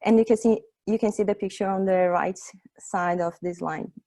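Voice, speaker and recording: A Brazilian-accented woman in her thirties gives a relaxed tech talk. She is chatty and conversational, with frequent filler pauses and "right?" tags, and sits in an ordinary middle pitch range for a woman. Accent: Brazilian Portuguese. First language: Portuguese. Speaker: tech meetup speaker. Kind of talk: informal tech presentation.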